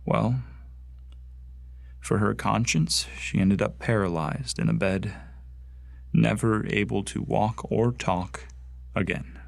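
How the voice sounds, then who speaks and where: A man's voice: lowish voice, takes it slowly, creaky, long pauses, no echo, studio quality, exaggerated voice. A young man acting a bitter, bigoted Martian recruit in a radio drama, ranting with contempt. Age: young adult. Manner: hateful, contemptuous ranting monologue.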